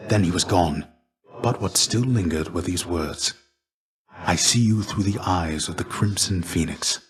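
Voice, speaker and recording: An actor playing the ghost of a fallen Greek warrior in a video game, a gentle, fatherly mentor with measured, formal, old-fashioned phrasing. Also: deep voice. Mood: solemn. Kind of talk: video game character dialogue.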